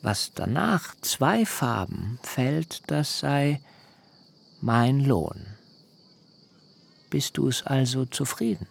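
Ein Schauspieler spielt in einem literarischen Hörspiel einen alternden, beharrlichen Hirten, der getragen und in altertümlichen Wendungen spricht. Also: male voice, medium pitch, animated, vocal fry, husky, full character voice. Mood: bargaining. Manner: measured, solemn, archaic phrasing. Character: aging herdsman, long-serving nephew, deliberate and insistent. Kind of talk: literary radio drama acting.

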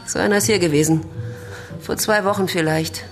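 A jaded, guarded woman of the red-light street, played by an actress in a radio drama. Her voice is deep for a woman, with a crackly fry. She talks in a languid, blunt way while music plays clearly behind her. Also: steady tone, brisk, close to an everyday voice. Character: world-weary, wary, teasing female street prostitute. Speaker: actor. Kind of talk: naturalistic German radio drama acting.

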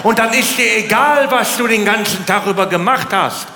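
Male stand-up comedian, animated storyteller, repetitive phrasing, live hall with long reverb and clear noise. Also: high-pitched, moderate pace. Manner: repeats words, acts out little scenes. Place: large live venue. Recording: echoey hall, live stage, noisy.

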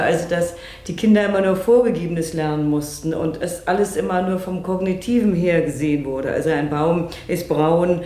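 A woman's voice: deep voice, dark tone, echoey room. A middle-aged woman from East Germany explaining her work thoughtfully, as in a documentary interview. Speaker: founder of an inclusive theater group.

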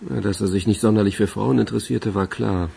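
Gravelly, wry police colleague. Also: male voice, lowish voice, steady tone, fast talker, mellow. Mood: wry, probing. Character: wry, sceptical male police colleague asking questions. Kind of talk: German radio play acting.

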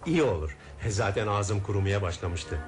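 An actor playing a shrewd, scheming male investigator in a Turkish radio drama, polite and guarded, with deliberate phrasing. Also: fairly low pitch, animated, music in the background, lightly acted. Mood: polite, probing. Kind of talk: Turkish radio theater acting.

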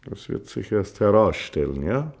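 Slick, mocking town official, swooping pitch. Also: male voice, very animated, normal speed, vocal fry, darkish tone, exaggerated voice. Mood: irritated. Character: slick, mocking small-town official, male, self-assured. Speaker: radio drama actor. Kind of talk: naturalistic radio play acting.

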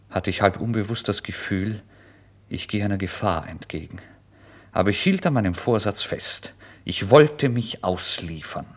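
Tiefer, fiebrig gequälter junger Erzähler. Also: male voice, low-pitched. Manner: intense, brooding, swinging between whispers and loud outbursts. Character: destitute, feverish young man confessing his story, tormented, exalted. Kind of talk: dramatic first-person literary narration in a German radio play.

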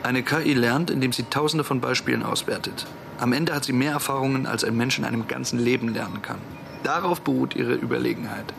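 A man's voice: medium pitch, steady tone, brisk, vocal fry, noisy background. An actor playing a self-assured young male programmer in a radio drama, matter-of-fact and dry. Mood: dry, cocky. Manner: matter-of-fact, cocky, explaining. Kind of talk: German radio drama acting.

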